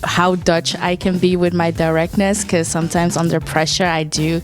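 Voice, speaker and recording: A woman's voice: lowish voice, level tone, moderate pace. A woman from Indonesia talking casually as an interviewee. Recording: music playing under the voice. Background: music.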